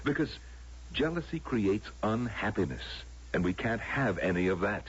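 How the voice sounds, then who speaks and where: Old radio play, preachy earnest boyfriend, really raspy, swoopy intonation, coaxing. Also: male voice, fairly low pitch, expressive, moderate pace. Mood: earnest, moralizing. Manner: coaxing, moralizing. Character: earnest male lover, patronizing believer in enforced happiness. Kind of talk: old radio drama acting. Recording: dull lo-fi vintage radio drama recording.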